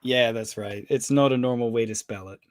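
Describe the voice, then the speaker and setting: A man's voice: mid-range voice, brisk, no echo. A man in his forties who grew up in the Middle East, answering questions with easygoing dry humor. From Middle East, Scottish parents. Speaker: guest. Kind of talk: casual interview chat.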